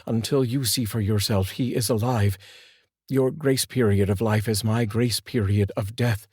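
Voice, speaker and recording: A male storyteller voicing a stern, steady husband who speaks firmly and plainly. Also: lowish voice, a little slow, slightly rough, no echo, clean studio sound. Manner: firm and plain-spoken.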